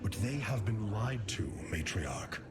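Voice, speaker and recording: An actor playing a noble, earnest alien military leader in a video game, speaking formally in a stately, measured way. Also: male voice, lowish voice, big pitch swings, heavy background noise. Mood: earnest persuasion. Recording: loud music behind the voice.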